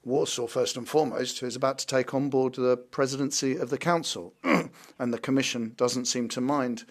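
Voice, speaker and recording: A male interpreter rendering a parliamentary speech into English with a steady, formal delivery and the odd restart. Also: medium pitch, moderate pace.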